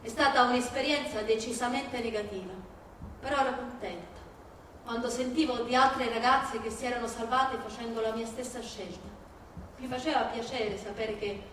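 High-voiced woman reading in an echoey space. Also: fairly bright tone, a little hiss or hum. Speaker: stage performer reading narration and testimony. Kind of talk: scripted dramatic reading in a theatre reading-concert. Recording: echoey room, distant mic, music behind. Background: live music.

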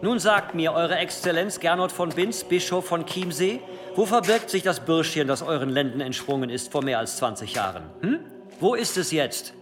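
Cunning church inquisitor played by a man, formal and insistent, very animated delivery, echoing hall with music underneath. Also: a little high, normal speed, natural voice. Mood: accusing, menacing. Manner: formal, insistent, rhetorical courtly address. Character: cunning, relentless cathedral provost conducting an interrogation. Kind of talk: period radio drama acting. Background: dramatic music.

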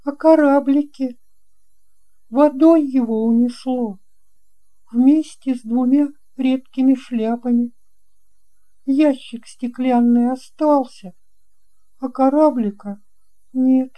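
Storybook girl, eight, played by a woman: kind and sure of herself, mellow, unhurried, gently reassuring. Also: sits high, pitched above the performer's own voice. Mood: consoling. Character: kind, confident eight-year-old girl who believes in fairy tales. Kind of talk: children's audio storybook dialogue.

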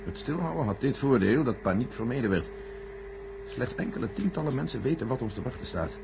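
A man playing a measured, secretive senior official in a science-fiction radio play, formal, deliberate and condescending. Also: medium pitch, sing-song, gravelly. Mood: grave, defensive. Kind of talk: radio drama acting. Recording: noisy old radio recording with hum.

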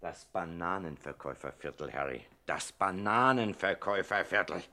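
Blustering, bright-voiced gangster pickpocket. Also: male voice, low voice, lively intonation, normal speed, full character voice. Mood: furious. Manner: blustering, emphatic, repeats himself. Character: indignant, grumbling small-time pickpocket gangster, proud, quarrelsome. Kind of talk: 1960s German radio comedy acting.

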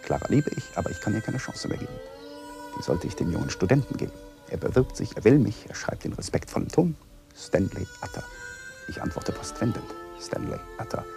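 An actor playing an embittered, excitable young lawyer in a radio drama, tense and quick to turn defensive. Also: male voice, fairly low pitch, vocal fry, over music. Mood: bitter, desperate. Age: young adult.

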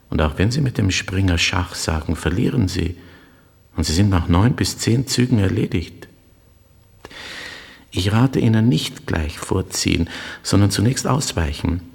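A man's voice: low-pitched, vocal fry, a little mellow, slightly rough, long reverb tail, heavily acted. A man playing a courteous, agitated middle-aged stranger in a radio drama, speaking with urgent precision. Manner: urgent, precise, formally polite. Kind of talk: literary radio drama acting.

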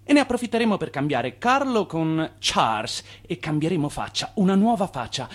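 A man's voice: on the high side, fairly bright tone. A man playing a brash, ambitious Italian immigrant hustler in a radio play, persuasive and insistent. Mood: resolute.

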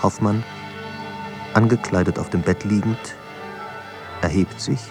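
Low gravelly guy reading radio play narration, solemn, lots of pauses, music behind. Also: fairly even intonation. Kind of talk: scripted literary radio drama narration. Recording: studio narration over clear music.

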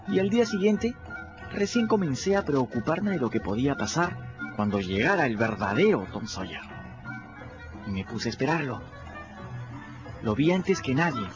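An actor with a Peruvian Spanish accent playing a quick-witted young boy who tells his own story in a folksy first-person manner in a radio drama. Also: mid-range voice, lightly acted. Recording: dull lo-fi radio recording.

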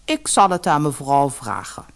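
A woman's voice: deep voice, big pitch swings, normal speed. A woman voicing a formal, aloof servant of a grand house in a fairy-tale audiobook. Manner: stiff, formal.

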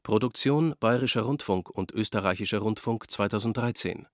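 A man's voice: lowish voice, normal speed. A man reading a formal scripted announcement as a radio announcer.

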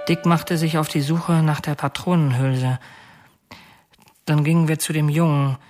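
Actor as brooding killer confessing in halting detail. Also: male voice, fairly high pitch, steady tone, over loud music, lightly acted. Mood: grim, confessing. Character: confessing male killer, bitter, brooding, oddly soft-hearted. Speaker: actor narrating as a murderer. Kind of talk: radio drama confession monologue.

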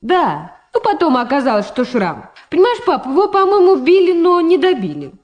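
An actress playing a prosecutor's young adult daughter in a Soviet radio drama, wry and teasing, gently reproachful. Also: female voice, high voice, wildly swooping pitch, normal speed, lo-fi sound. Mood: playful, teasing.

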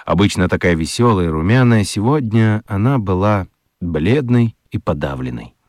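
Low-voiced male storyteller, close and dry. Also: lively intonation, normal speed, a little mellow, dry recording. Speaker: narrator. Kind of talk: scripted storytelling narration for children's radio drama.

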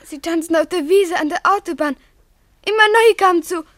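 High-voiced, frightened little schoolgirl, close and dry. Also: brisk, a bit bright, no echo. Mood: fearful, earnest. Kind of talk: German radio play acting.

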